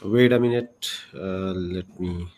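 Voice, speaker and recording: A man hosting a video call Q&A, unhurried with hesitant fillers. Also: low voice, a little mellow. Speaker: host.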